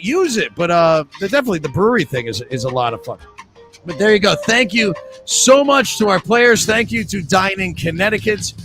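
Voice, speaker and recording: A man's voice: high-pitched, gravelly, fairly bright tone. A man hosting a live trivia game, joking with players and rambling through sponsor reads.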